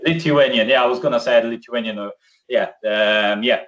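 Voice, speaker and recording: A man whose first language is Polish, presenting a live software demo on a video call in a chatty way with many fillers. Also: mid-range voice, moderate pace. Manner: chatty, filler-heavy, self-correcting. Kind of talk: live screen-share demo. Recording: distant, muffled video call audio.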